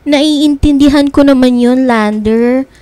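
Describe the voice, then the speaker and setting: High-voiced girlish young woman. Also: takes it slowly, warm tone, dry recording. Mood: apologetic, defensive. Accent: Filipino. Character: sweet, bantering, inexperienced young woman in love. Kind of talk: Filipino radio drama acting. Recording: dry studio with music bed.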